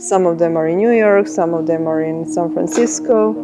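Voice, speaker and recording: A woman in her thirties with a Croatian accent, a software engineer speaking in a documentary interview, thoughtful with pauses and restarts. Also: lowish voice. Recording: music bed under the voice.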